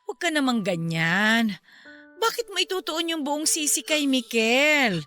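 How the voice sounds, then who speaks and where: A woman's voice: sits high, sing-song, unhurried, sharp tone, faint music behind, exaggerated voice. A woman playing an earnest, pleading middle-aged mother and friend, protective of her son, in a Filipino radio drama.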